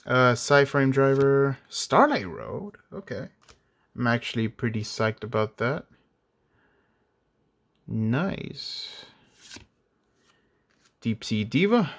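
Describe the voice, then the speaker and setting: Soft-spoken man reading card names slowly. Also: medium pitch, animated. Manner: hushed, unhurried, reading card names aloud. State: voice a bit off, dry throat. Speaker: card collector opening packs. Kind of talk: casual pack-opening commentary. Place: indoors at a table at night.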